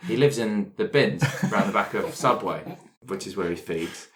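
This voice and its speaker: A man telling his game character's backstory in a casual, deadpan way. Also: on the low side, moderate pace. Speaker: player in a tabletop roleplaying show.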